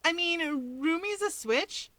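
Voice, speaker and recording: Woman voicing a breezy, high-pitched young male hero. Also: animated, measured pace, sharp tone, no room sound, exaggerated voice. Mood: breezy, reassuring. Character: teasing, excitable young male winged hero.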